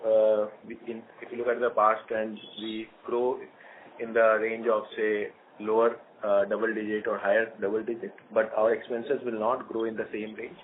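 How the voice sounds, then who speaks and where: A man's voice: lowish voice, flat delivery, moderate pace. A man with an Indian accent explaining things to analysts as a company executive on an earnings call. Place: conference phone call. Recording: tinny phone line with clear noise.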